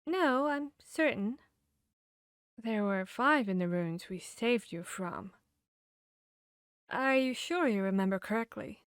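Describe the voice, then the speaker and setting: A woman playing a confiding, bookish vampire hunter in a fantasy ASMR roleplay, talking intimately with pauses. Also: on the high side, animated, clean tone, no room sound, clean studio sound. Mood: focused, reassuring. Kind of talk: ASMR roleplay audio drama.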